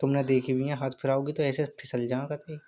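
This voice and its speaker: A man in a casual phone chat, rambling and teasing in a drawn-out way. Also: medium pitch, flat delivery, mellow. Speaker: caller in a couple's phone chat. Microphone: phone call.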